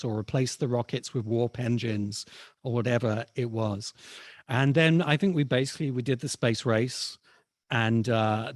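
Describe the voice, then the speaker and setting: Male expert guest, medium pitch, thoughtful and slightly halting conversational explainer, dry recording with no room. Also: no echo. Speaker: interviewed science fiction expert.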